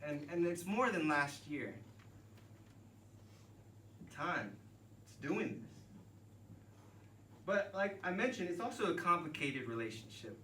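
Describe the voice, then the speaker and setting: A man's voice: a little high, sing-song, slow, pauses often, sharp tone. A man in his thirties from Texas with an American accent, telling a joking yet reflective personal story live on stage. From Texas, United States. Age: 30s. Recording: echoey room, distant mic, noisy ambience.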